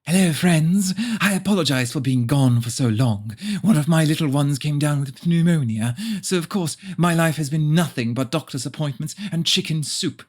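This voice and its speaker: A man's voice: fairly high pitch, close to an everyday voice. A man voicing a prim, pious, self-satisfied mother who narrates her story in a preachy, cloying way. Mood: sweetly pious.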